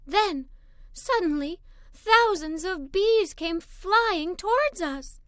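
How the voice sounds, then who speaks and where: A woman narrating a children's story in an expressive, dramatic read-aloud style. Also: very high voice, slow pace, dry recording, clean studio sound.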